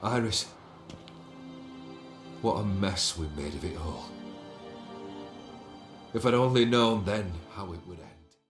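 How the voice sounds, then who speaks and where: A man's voice: sits low, slow, pauses often, over music. An actor playing a proud, regretful nobleman in a video game, grave and dramatic in delivery. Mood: mournful.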